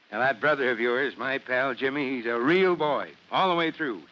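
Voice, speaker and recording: A man's voice: mid-range voice, moderate pace, natural voice. A man playing a confident, fatherly fire chief in an old radio drama, speaking with emphatic assurance. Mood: assured, amiable. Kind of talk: 1950s radio drama acting. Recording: muffled old radio recording, faint noise.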